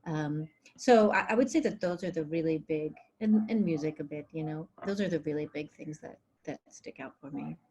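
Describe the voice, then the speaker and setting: A woman raised partly in Greece, with Greek as her first language, speaking in an interview over a video call, often pausing with fillers and restarting her sentences. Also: low-pitched, dark tone, a little hiss or hum. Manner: hesitant, many fillers and restarts. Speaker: author being interviewed. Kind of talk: conversational interview.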